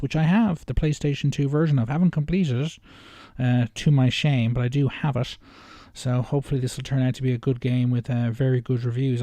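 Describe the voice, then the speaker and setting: Mellow-voiced man, rambling game commentator. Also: medium pitch, dry recording. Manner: hesitant, rambling, circles back and rephrases. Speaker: reaction video host. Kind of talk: casual unscripted video game commentary.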